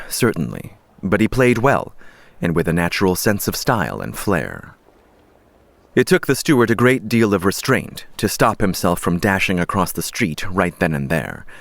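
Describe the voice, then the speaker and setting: Deep voiced guy reading a fantasy audiobook, dramatic and deliberate, dry studio sound. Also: no room sound. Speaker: audiobook narrator. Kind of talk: dramatic scripted fantasy narration.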